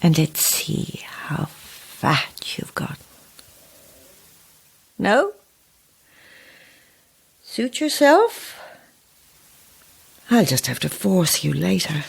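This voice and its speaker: A woman's voice: on the low side, sing-song, very slow, creaky, pauses often, husky, whispered, heavily acted. An actress playing a sinister, taunting old witch in a horror audio drama, with a menacing, sing-song way of drawing out words. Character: sinister, taunting old witch who lures and cages her guests.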